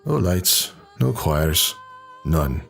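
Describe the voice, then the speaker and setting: A man playing a gruff, sardonic, world-weary hard-drinking rebel in a fan audio drama. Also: rumbling low, expressive, very slow, faint music behind, heavily acted. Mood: somber, reflective. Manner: sardonic, blunt.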